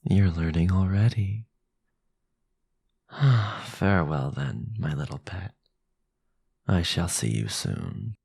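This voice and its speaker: A sinister vampire lord for an audio roleplay. His low voice is very husky and breathy, crackling with gravel. He speaks with formal, possessive authority and silky menace. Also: animated, darkish tone, no echo, clean studio sound, exaggerated voice. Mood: possessive, commanding. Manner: commanding, formal, drawn-out menacing delivery. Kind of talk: boyfriend ASMR audio roleplay.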